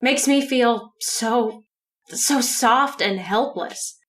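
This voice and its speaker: Woman doing a young radio drama heroine, highish voice, acts all soft and helpless but secretly cold. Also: drawn-out words. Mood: tearful, resigned. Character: young woman feigning frightened softness, secretly cold killer. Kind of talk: old time radio drama acting.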